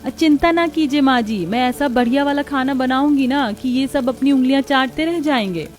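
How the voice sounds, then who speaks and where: A woman's voice: high-pitched, moderate pace, soft background music, lightly acted. A woman voicing an eager, humble young village daughter-in-law with respectful rustic phrasing in a cartoon. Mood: eager, cheerful.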